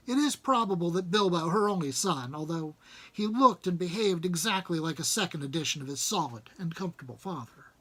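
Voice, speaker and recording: A man reading a storybook aloud as an audiobook narrator, with expressive delivery. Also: high voice. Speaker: audiobook reader.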